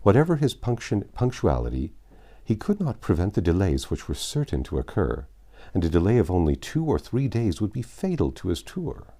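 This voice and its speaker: A man voicing a sceptical, dismissive gentleman brewer in a storybook, speaking formally and firmly. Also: deep voice, wildly swooping pitch, normal speed, exaggerated voice.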